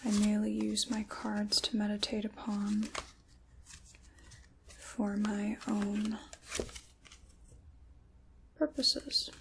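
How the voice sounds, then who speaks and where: A woman playing a sinister, chaos-loving dark witch in a whispered, theatrical ASMR roleplay, soft-spoken and close to the microphone. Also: mid-range voice, flat delivery, slow, vocal fry, long pauses, background noise. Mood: silky, coaxing.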